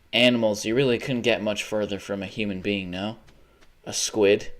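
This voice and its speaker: A man's voice: fairly low pitch, fairly bright tone. A young man livestreaming a video game, rambling casually, swearing often and laughing at his own jokes. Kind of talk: casual live gaming commentary.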